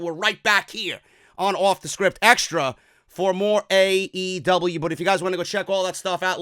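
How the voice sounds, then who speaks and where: An energetic man hosts a wrestling news show from his office, casual and loose with his words. His voice sits high and bright, with a smooth, clear tone. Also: dry recording, clean studio sound. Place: office at a desk.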